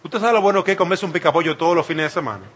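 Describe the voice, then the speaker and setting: A man's voice: fairly high pitch, very fast, fairly bright tone, slightly rough. A man from the Dominican Republic, speaking with a Dominican Spanish accent, preaching an emphatic sermon and repeating phrases for effect.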